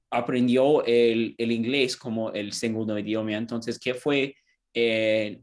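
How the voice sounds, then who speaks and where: A man interviewing a tutor for a class assignment, asking questions hesitantly and stumbling through his Spanish with restarts. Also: lowish voice. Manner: hesitant, many fillers and restarts, second-language Spanish. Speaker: student interviewer.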